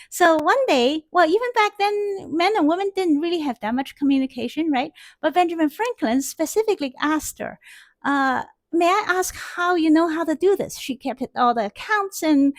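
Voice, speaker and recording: A woman's voice: high-pitched, no echo. A middle-aged woman math teacher in a classroom, telling a story in a chatty, rambling way with many fillers, on a headset mic. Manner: chatty, many fillers and restarts, animated gestures. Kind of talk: casual storytelling lecture. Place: classroom in front of a whiteboard.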